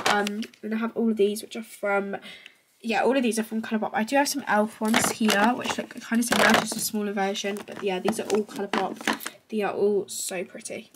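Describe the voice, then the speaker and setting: A woman's voice: mid-range voice, background noise. Chatty young woman, stuffy-nosed. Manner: chatty, rambling, many fillers and self-corrections. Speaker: beauty vlogger. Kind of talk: casual show-and-tell.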